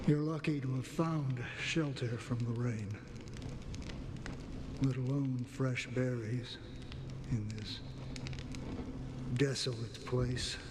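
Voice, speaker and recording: An actor playing a mild-mannered, friendly young traveler in a game cutscene, conversational and gently teasing. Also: male voice, mid-range voice, fairly even intonation, slow, pauses often, lightly acted. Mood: friendly, calm. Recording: long reverb, noisy game capture.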